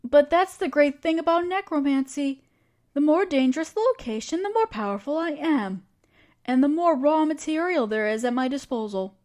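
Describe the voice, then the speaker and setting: A woman playing an earnest, caring necromancer in a scripted audio roleplay, speaking directly to the listener in a conversational, reassuring way. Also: sits high. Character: earnest, caring female necromancer with a grand plan.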